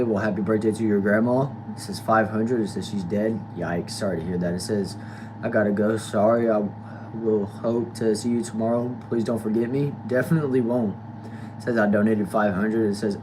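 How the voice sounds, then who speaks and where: A man's voice: lowish voice, background noise. Laid-back nineteen-year-old man reading chat. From United States. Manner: reads comments aloud, drawls, greets viewers loosely. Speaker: livestream host reading chat. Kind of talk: casual livestream chat.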